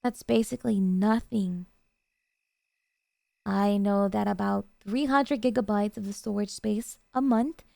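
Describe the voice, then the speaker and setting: A woman playing a doting, jealous yandere girlfriend in an ASMR roleplay, coaxing and sweetly earnest with hesitant pauses. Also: medium pitch, fairly even intonation, creaky, darkish tone, no echo. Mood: defensive, insistent.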